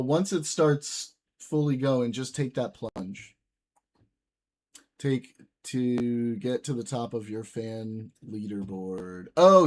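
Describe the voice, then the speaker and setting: A young man hosts a live stream, answering viewers in loose, profane banter. His voice sits at an ordinary middle pitch and his talk is casual and offhand. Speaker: livestream host reading chat.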